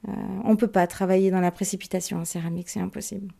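Gravelly-voiced woman talking reflectively. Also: mid-range voice, steady tone, fast, warm tone. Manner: reflective, with fillers and trailing off. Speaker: interviewed ceramic artisan. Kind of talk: recorded interview clip.

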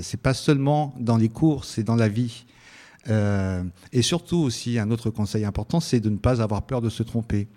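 A man whose first language is Italian, answering interview questions thoughtfully with frequent pauses and restarts. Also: medium pitch, dark tone. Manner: thoughtful, hesitant, many restarts.

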